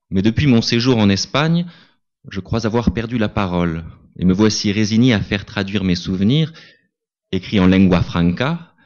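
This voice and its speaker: Man, very deep voice, calm deliberate first-person reading, muffled lo-fi sound. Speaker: reader at a literary tribute. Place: small cinema salon. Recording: dull lo-fi event recording.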